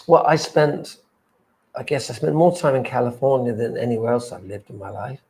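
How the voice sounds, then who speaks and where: A man's voice: medium pitch, animated, moderate pace, darkish tone. A man who grew up in England, chatting hesitantly on a livestream. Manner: hesitant, hedging. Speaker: livestream co-host. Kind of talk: casual livestream chat.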